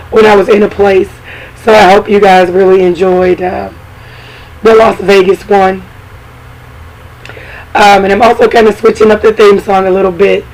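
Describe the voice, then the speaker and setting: A hoarse woman with a cold hosting a podcast in casual, chatty talk full of fillers and asides. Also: mid-range voice, darkish tone, poor audio. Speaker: podcast host.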